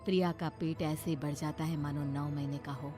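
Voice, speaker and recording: A woman's voice: low voice, fairly even intonation, moderate pace, over music. A woman narrator reading a scripted horror story.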